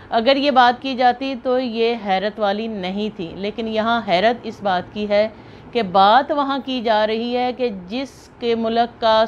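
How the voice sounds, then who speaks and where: A woman with a Pakistani accent giving earnest, emphatic, unscripted commentary to viewers, often rephrasing as she goes. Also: a little high. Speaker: video host and commentator. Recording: muffled lo-fi, clear background noise.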